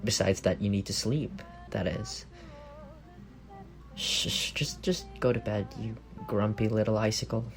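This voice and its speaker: A man playing an affectionate, teasing young roommate secretly in love, in an intimate ASMR roleplay with a soft, creaky voice. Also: fairly low pitch, unhurried, faint music behind. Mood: fond, soothing. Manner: playful teasing murmur with soft chuckles.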